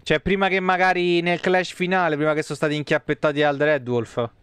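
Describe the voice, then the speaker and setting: Smooth higher-voiced man streaming games. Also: level tone, a little fast, a bit bright, no room sound. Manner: foul-mouthed, colloquial, muttering to himself. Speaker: game streamer. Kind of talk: casual live gameplay commentary. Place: small indoor room, streaming setup.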